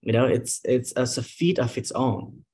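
A man's voice: medium pitch, normal speed, a little hiss or hum. Young Nepali man telling his story animatedly. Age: 20s. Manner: animated storytelling with many "like" fillers and restarts. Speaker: guest. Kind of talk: casual interview conversation.

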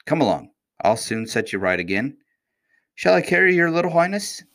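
A man's voice: fairly high pitch, very animated, normal speed, a bit bright, pitched above the performer's own voice. A man voicing a cheerful, fearless twelve-year-old miner boy in a storybook, plain-spoken and self-assured. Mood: brisk, bold.

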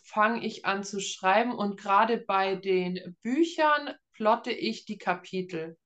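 A woman's voice: mid-range voice, slow pace, fairly bright tone, muffled recording. A woman in her early thirties from Germany, chatting casually as an author being interviewed, with a self-deprecating humor. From Schwerin, Germany. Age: early 30s.

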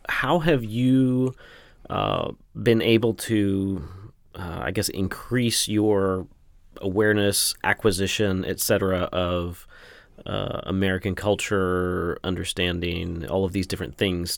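A man's voice: deep voice, dry recording, clean studio sound. A man hosting a podcast interview, explaining things earnestly for the listeners. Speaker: podcast host. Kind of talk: conversational interview.

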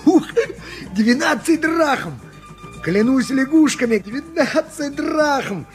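An actor playing a poor, simple donkey driver in a radio play, humble and pleading, stammering and exclaiming. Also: male voice, extremely high pitch, expressive, takes it slowly, faint music behind, heavily acted. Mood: gleeful. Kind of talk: 1980s radio play acting.

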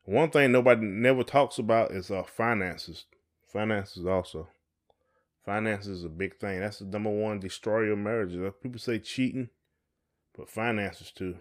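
A man's voice: on the low side, normal speed. A man co-hosting a podcast in casual conversation, talking in a folksy way and often repeating his phrases. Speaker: podcast co-host.

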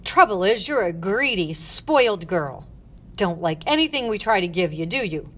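A woman's voice: mid-range voice, moderate pace, close to an everyday voice. Woman acting a stern, spiteful matron barking orders. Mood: scornful, accusing. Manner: barking orders, scolding, accusing. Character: stern, spiteful, shrill older female head matron. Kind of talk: audiobook character voice.

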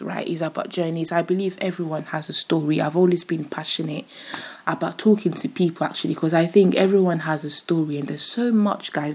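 Woman in her twenties, rough deep voice, rambling casual podcast talk, breathy tone. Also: a little mellow. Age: 20s. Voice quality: deeper, rough voice. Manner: chatty, rambling, giggly. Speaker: podcast host. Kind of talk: casual solo podcast chat.

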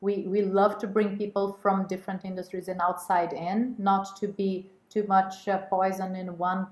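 A woman's voice: mid-range voice, little pitch movement, normal speed, a little mellow. Woman guest, stops and restarts a lot, echoey room. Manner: hesitant, many fillers and restarts. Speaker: guest, women's network co-chair. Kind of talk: casual interview talk.

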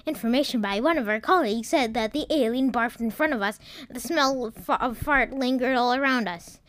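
A woman's voice: fairly high pitch, a little fast, fairly bright tone, no echo, pitched above the performer's own voice, close to an everyday voice. A woman playing an earnest television news anchor, announcing a formal report within a children's story. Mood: urgent.

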